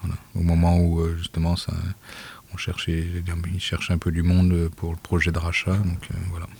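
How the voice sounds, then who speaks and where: A man who volunteers with a migrant aid group, speaking softly and haltingly in a casual radio interview, with many fillers. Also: low voice, monotone, husky. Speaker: association volunteer.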